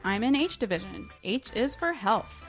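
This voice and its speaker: A woman's voice: fairly high pitch, expressive, normal speed, soft background music, natural voice. Woman reading as an awkward earnest nerdy man. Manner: stilted, awkward, over-literal. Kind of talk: amateur dramatic reading of a novel.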